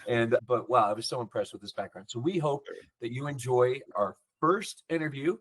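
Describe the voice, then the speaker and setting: A man's voice: mid-range voice, normal speed, rough recording. A man hosting a podcast, talking casually and eagerly, open about being boastful. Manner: enthusiastic, self-deprecating promoter. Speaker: podcast host.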